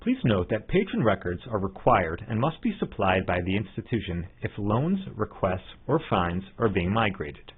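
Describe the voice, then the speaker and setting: A man giving scripted step-by-step tutorial narration as a software trainer, in an instructional manner. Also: lowish voice, sing-song, moderate pace, few pauses, husky. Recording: muffled narrow phone-like line.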